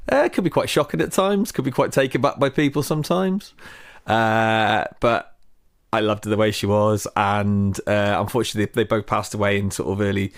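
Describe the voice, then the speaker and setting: A man's voice: on the low side, dry recording, clean studio sound. A man in his thirties with a Shropshire accent and a slight Cockney twang, chatting casually as a podcast guest, rambling with restarts. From West Midlands, England. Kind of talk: casual chat.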